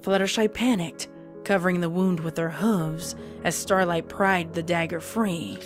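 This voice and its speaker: A woman's voice: fairly low pitch, fairly even intonation, unhurried. A woman narrating a scripted dramatic story. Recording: prominent music under narration.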